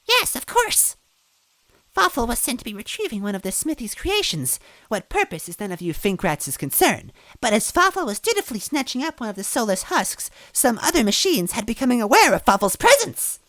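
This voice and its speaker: A woman's voice: a little high, very animated, a little fast, fairly bright tone, dry recording, pitched above the performer's own voice. A woman voicing a short, vain, servile bean-man henchman who declaims dramatically in a storybook reading. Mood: boastful. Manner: dramatic, pompous, speaks of himself in third person.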